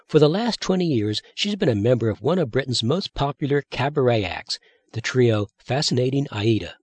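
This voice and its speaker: A man with a husky voice, hosting a radio show and introducing recordings in an informative, conversational way. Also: medium pitch, no room sound. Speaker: radio host.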